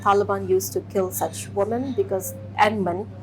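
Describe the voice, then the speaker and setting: A woman in her thirties from Afghanistan, giving a measured personal testimony with pauses and restarts. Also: medium pitch, fairly even intonation, poor audio. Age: 30s. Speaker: interviewee.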